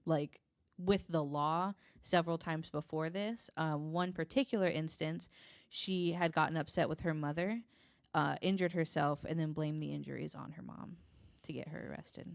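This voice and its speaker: A woman recounting true crime cases in a conversational, halting and informal way, hedging as she works from notes. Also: deep voice, steady tone, gravelly, no echo, studio quality. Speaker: podcast guest.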